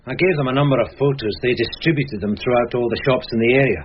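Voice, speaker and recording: An actor playing a dogged, deferential police sergeant with a British accent in a radio crime drama, respectful and procedural. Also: male voice, medium pitch, fast, lightly acted. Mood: matter-of-fact. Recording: dull, hissy old radio recording with clicks.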